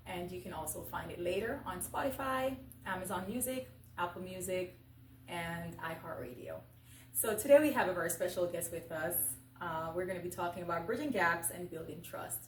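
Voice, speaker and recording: A woman's voice: on the low side, normal speed, a little hiss or hum. A woman hosting a talk show and interviewing a guest in a conversational, live style. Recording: echoey, distant mic with faint music.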